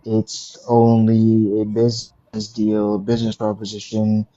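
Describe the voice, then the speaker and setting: Flat-voiced young man on lo-fi call. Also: on the low side. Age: 20s. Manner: measured, drawn-out argument with fillers. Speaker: caller. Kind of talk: debating on a call-in stream. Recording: dull lo-fi call audio.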